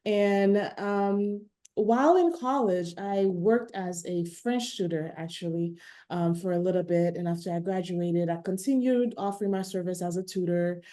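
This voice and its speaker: Woman, late 30s, from Haiti, low and mellow, chatty and self-deprecating, webinar call. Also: moderate pace. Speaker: interpreter and translator. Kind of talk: casual webinar talk.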